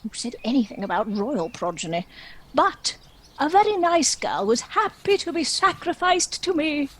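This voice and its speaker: A woman's voice: high-pitched, sing-song, a bit bright, low-quality recording. A woman playing a chatty, self-pitying dragon who tells its own story in a wry, theatrical comic stage monologue. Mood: grand, hopeful. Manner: wry, theatrical, measured storytelling with arch asides.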